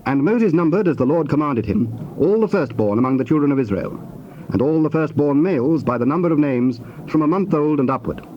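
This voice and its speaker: A man narrates scripture formally and solemnly, in measured phrases. His voice sits a little high, on a dull cassette recording with faint noise behind it. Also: expressive. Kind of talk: scripted dramatized Bible narration. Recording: dull, noisy old cassette tape.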